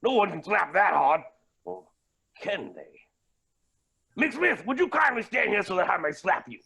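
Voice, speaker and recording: Casting: gleeful pompous mad villain, audio comedy; sharp, raspy, wildly swooping delivery. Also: male voice, high voice, huge pitch swings, a little slow, heavily acted. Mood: condescending. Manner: pompous, theatrical, mock-polite. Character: flamboyant theatrical mad villain, gleeful, scheming, condescending. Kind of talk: comedic audio drama acting.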